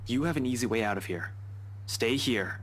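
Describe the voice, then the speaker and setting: A man's voice: medium pitch, animated, moderate pace, fairly bright tone, rough recording. A man playing a determined police detective in a visual novel game, speaking firmly and bluntly with protective urgency.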